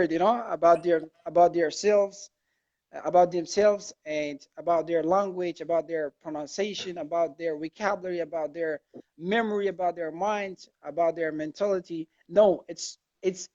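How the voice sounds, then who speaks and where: A young man, speaking English as an in an earnest, halting radio chat with many restarts. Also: on the high side. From Afghanistan. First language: not English. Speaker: guest. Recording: dull lo-fi sound.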